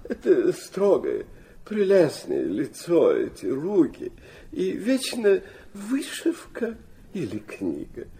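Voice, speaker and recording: An actor playing a sentimental, flighty old Russian prince in a radio play, rambling and hesitant, stammering and dropping in French phrases. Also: male voice, fairly high pitch, wildly swooping pitch, slow, dark tone, a little hiss or hum, heavily acted. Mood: rambling, animated. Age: older.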